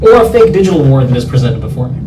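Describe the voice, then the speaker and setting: A male interviewer asks his guests questions in a joking, self-deprecating way. He talks fast, with a lively, fairly high voice. He sounds distant in an echoey room, with a clear hiss. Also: over music. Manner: animated, self-deprecating, laughing. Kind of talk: casual interview.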